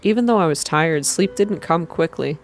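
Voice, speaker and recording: A woman reading a story aloud in a calm, slow, hushed ASMR style. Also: sits low, a little hiss or hum. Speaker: reader of a visual novel for an online channel.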